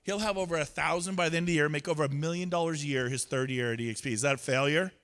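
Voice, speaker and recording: A man's voice: on the high side, very fast, fairly bright tone. A man giving a motivational talk on stage, acting out both sides of conversations with others. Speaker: real estate speaker. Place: event stage.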